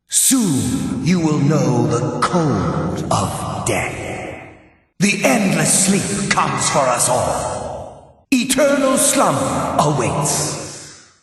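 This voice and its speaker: Game role: haughty undead spirit, slow and ominous, swooping pitch, drenched in distant echo. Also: male voice, full character voice. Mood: menacing. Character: menacing male undead ghost, haughty and solemn. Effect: ghostly reverberation. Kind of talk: video game character dialogue. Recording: cavernous long reverb, distant.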